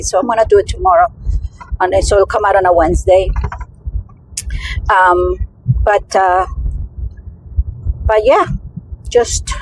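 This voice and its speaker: A woman's voice: a little high, a little hiss or hum, low-quality recording. A middle-aged woman with a Spanish accent, talking in a casual personal vlog and rambling through many restarts and fillers. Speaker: vlogger.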